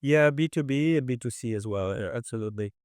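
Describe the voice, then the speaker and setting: A man who grew up in France, with French as his first language, talking casually as a guest about his business, measured and full of fillers. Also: mid-range voice, darkish tone, clean tone, no echo, clean studio sound. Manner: measured, many fillers and restarts. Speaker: guest, restaurant owner. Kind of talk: casual interview chat.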